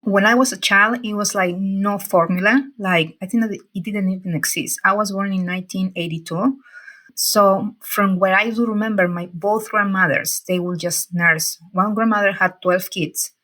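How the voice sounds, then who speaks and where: Bright-voiced Peruvian woman in her forties. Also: mid-range voice, fairly even intonation, poor audio. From Peru. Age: 40s. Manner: halting, searching for words, many restarts. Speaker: guest. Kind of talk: casual interview.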